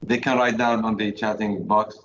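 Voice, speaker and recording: A man's voice: lowish voice, fairly even intonation, normal speed, fairly bright tone. A man politely moderating a webinar's question session over a video call. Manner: polite, inviting. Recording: dull lo-fi call audio with faint noise.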